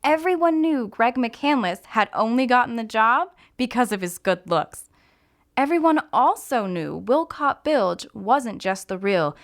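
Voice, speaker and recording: Lively high-voiced woman reading a story. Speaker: actor reading a mystery short story. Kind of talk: scripted audiobook narration with character voices.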